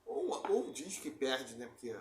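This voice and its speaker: Casting: male co-host, Brazilian accent, casual and very animated in pitch, recorded in a roomy space with faint noise. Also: a little slow. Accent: Brazilian Portuguese. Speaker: podcast host. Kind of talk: casual chat. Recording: echoey room, faint background noise.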